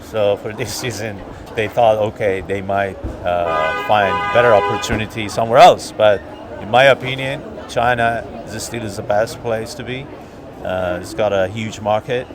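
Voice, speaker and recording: A man from Iran answering a street interview, thoughtful and hesitant, pausing often with fillers. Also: on the low side, over music. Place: busy city street outdoors. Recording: busy street crowd behind.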